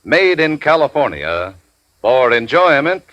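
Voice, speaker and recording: A man's voice: mid-range voice, big pitch swings, unhurried, fairly bright tone, no echo, lo-fi sound. A man announcing a scripted sponsor message in the style of 1940s radio. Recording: 1940s radio broadcast recording.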